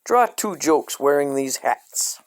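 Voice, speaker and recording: Deadpan man reading aloud, lively pitch swings. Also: takes it slowly, clean tone. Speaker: storyteller reading a parody children's coloring book. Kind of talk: scripted comedic read-aloud.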